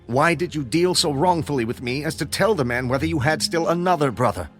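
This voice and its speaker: A man's voice: fairly high pitch, expressive, fairly quick, soft background music, close to an everyday voice. An actor playing an old, weary patriarch in a Bible audio drama, grave and commanding with his sons. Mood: reproachful. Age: older. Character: old patriarch father, weary, reproachful, resigned.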